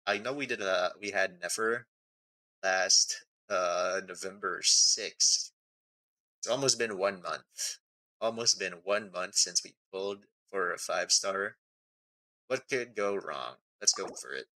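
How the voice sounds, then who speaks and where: Bright, forceful low-voiced male game streamer. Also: slow, low-quality recording. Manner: thinks aloud, reads on-screen text, asks himself questions. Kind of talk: casual live game stream commentary. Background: music.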